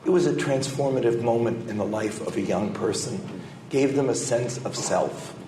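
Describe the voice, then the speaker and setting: A man with a raspy voice, hoarse from a cold, speaking as a scholar on a conference panel. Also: medium pitch, normal speed, dark tone. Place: hotel conference room. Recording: echoey room, audible background noise.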